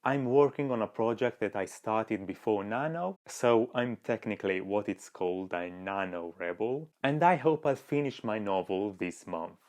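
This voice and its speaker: A man's voice: on the low side, normal speed, hardly any pauses, darkish tone. A man with an Italian accent, a non-native English speaker, narrating his own writing vlog in an earnest, chatty way. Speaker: writing vlogger. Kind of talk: scripted vlog voice-over.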